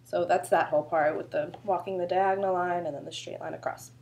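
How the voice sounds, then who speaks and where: A woman's voice: on the low side, steady tone, fairly quick, vocal fry, darkish tone, a little hiss or hum. A woman choreographer with a sore throat, explaining a dance routine in a chatty, loose way full of fillers. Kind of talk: casual dance instruction video.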